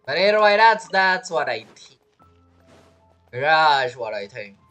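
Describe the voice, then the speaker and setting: Non-native English speaker, lively woman streamer. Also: low voice, takes it slowly. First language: not English. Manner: hesitant, rambling, repeats phrases. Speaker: game streamer. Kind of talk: casual livestream chat while playing. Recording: game music behind the voice. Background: video game music and character voices.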